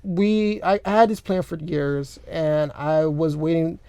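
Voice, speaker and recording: A young man co-hosting a podcast in a studio, chatting casually in a rambling, animated way with lots of filler words. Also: on the high side, unhurried, clear, resonant tone, no room sound. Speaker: podcast co-host. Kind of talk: casual chat. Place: recording studio. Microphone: studio microphone.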